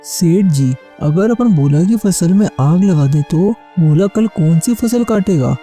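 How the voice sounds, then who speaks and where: Cartoon villain's sidekick: sly male henchman played by an actor, very muffled dark timbre, coaxing, music underneath. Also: mid-range voice, lively intonation, measured pace, close to an everyday voice. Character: sly male henchman, flattering and wicked schemer. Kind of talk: Hindi animated moral story dialogue.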